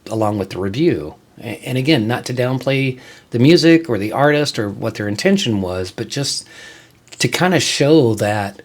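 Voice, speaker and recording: A man hosting his own channel, talking casually to viewers in an informal, explanatory and somewhat halting way. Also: mid-range voice, expressive. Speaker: host of a channel on music reviews and tabletop games.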